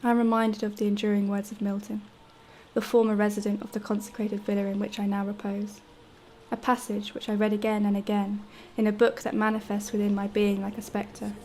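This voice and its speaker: A woman's voice: mid-range voice, monotone, brisk, darkish tone, a little hiss or hum, natural voice. An actress playing an anguished, brooding young woman writer in a radio drama, speaking in solemn, formal literary monologue. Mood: desolate, brooding. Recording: faint music under the voice.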